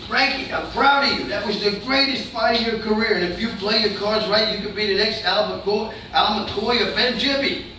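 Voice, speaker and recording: In a noisy, very echoey room, a male actor plays a boxer's manager in a stage drama. The voice is fairly high for a man, fatherly yet evasive. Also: normal speed, sharp tone. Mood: proud. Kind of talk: staged play reading. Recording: very echoey room, distant mic, clear noise.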